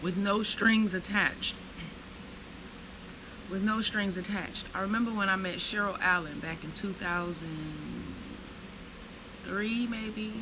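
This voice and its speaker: Woman reading aloud over road noise. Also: medium pitch. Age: 30s. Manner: slow reflective reading, then blunt profane chatter. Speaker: vlogger reading from a book. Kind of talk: reading aloud then casual storytelling.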